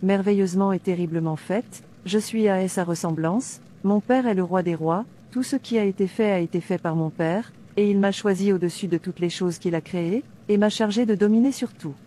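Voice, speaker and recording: A woman's voice: medium pitch, moderate pace, hardly any pauses, dark tone, slight background noise, natural voice. A woman playing a devout, defiant princess in a dubbed cartoon, declaiming earnestly like a preacher. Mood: proud. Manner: declamatory, earnest, citing scripture. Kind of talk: sermon-like dubbed cartoon dialogue.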